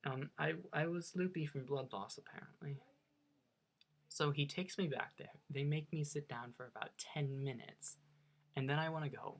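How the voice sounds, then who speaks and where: A man's voice: fairly high pitch, normal speed. A young man from the United States with a slight Norwegian accent, telling a funny personal story in a chatty, self-mocking way with hesitant restarts. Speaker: vlogger. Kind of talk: casual storytelling.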